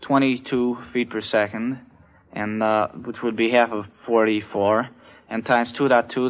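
A male quiz contestant thinking aloud in a hesitant, methodical, halting way on a vintage radio recording. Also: medium pitch, muffled recording. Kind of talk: thinking aloud through arithmetic.

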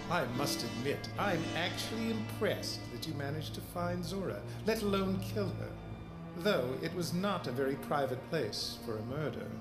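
An actor playing a menacing, cultured android villain in a 1940s-style noir radio drama, speaking with formal, mock-courteous deliberation. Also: male voice, fairly high pitch, lively intonation, normal speed, lightly acted. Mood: silky menace. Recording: roomy stage sound under loud music.

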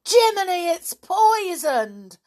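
Woman voicing an excitable, emotional circus boy. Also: low-pitched, moderate pace. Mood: distraught. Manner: exclamatory, emotional. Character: excitable circus boy, friendly, easily distressed.

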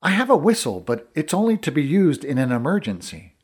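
A man's voice: on the high side, big pitch swings, a little fast, slightly rough, pitched above the performer's own voice. A man voicing a dutiful leprechaun soldier in a fantasy audiobook, giving reports in a formal manner. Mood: formal, grave.

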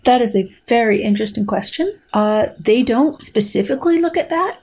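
A middle-aged woman speaks as a guest scientist on a show. Her phrasing is precise and deliberate, with a playful edge. She moves between formal reading aloud and plain conversational explanation. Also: a little high, animated, darkish tone, breathy edge.

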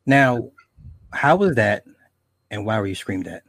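A man's voice: fairly low pitch, big pitch swings, measured pace, a bit bright, dry recording. A male host asking short prompting questions in a casual interview. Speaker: podcast host.

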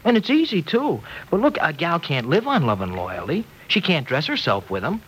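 Boyish, slangy young lover for vintage radio drama: mid-pitched, very animated, earnest and halting; noisy old recording. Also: male voice. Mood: earnest, insistent. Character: earnest, slangy young working guy in love. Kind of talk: 1940s radio drama acting.

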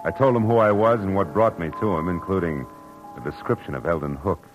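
An actor playing a dry, world-weary American private detective in a 1940s noir radio drama, with clipped, wry delivery. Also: male voice, deep voice, a little fast, natural voice, rough recording. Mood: dry narrating. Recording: old radio recording with background music.

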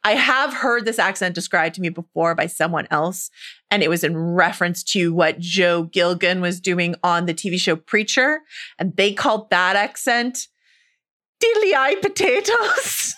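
A woman co-hosting a podcast in casual chat, chatty and self-deprecating with quick asides. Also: fairly low pitch, lively intonation, bright, forceful tone. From not Irish. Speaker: podcast co-host.

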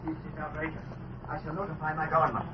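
A haughty, indignant diplomat villain played by a man in an old radio play. He blusters formally and fast in a slightly high voice. The recording is muffled and faintly noisy. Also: flat delivery, natural voice. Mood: outraged. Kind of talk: 1940s radio acting.

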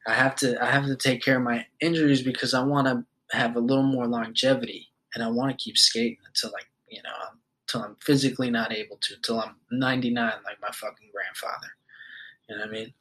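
A man's voice: mid-range voice, fairly even intonation, quick pace, a bit bright, low-quality recording. Rambling, foul-mouthed young man. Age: 20s. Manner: loose and rambling, swears often, many fillers. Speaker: podcast host. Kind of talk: casual monologue.

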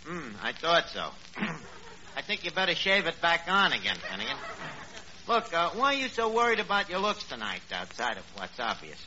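An actor playing a cocky, blustering tavern manager with a New York accent, mangling big words with pompous confidence in a radio comedy. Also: male voice, a little high, wildly swooping pitch, moderate pace, sharp tone, exaggerated voice. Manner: malapropisms delivered with pompous confidence. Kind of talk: 1940s radio comedy acting. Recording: dull, noisy old radio recording.

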